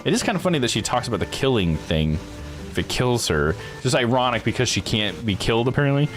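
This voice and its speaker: A man's voice: mid-range voice, sing-song, fast talker, faint music behind. A man streaming a game, giving casual live commentary and musing aloud in a conversational way.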